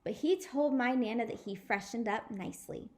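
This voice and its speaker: A woman's voice: fairly high pitch, moderate pace, a little mellow, lightly acted. A woman voicing a snooty, tattling little girl in a storybook, huffy and prim in manner.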